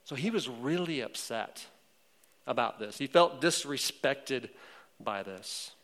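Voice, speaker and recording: A man's voice: medium pitch, lively intonation, slow pace, fairly bright tone. A man preaching a sermon, retelling a story in a conversational way with frequent fillers. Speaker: pastor.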